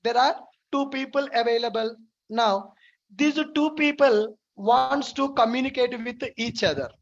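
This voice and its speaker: A high-voiced woman with an Indian English accent teaches programming basics over a screen recording. She repeats each point slowly and patiently, step by step. The recording sounds a little dull and lo-fi. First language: Telugu. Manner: repetitive, explains slowly step by step. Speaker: programming instructor. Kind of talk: screen-recorded tutorial lecture. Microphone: voice-over to screen drawing.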